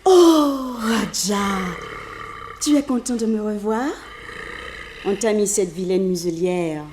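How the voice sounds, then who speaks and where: An actress playing a passionate, vengeful murderess who poses as a meek servant, in an intense, theatrical old French radio drama style. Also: female voice, mid-range voice, slow pace, echoey room, background noise, lightly acted. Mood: tender, hushed.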